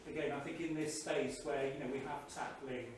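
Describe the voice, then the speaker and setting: A man's voice: mid-range voice, level tone. Man speaking from far off, very echoey. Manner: halting, tentative, thinking aloud. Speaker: audience member commenting. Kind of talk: informal, reflective discussion comment. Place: indoor event room, without microphone. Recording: distant, very echoey, noisy room pickup.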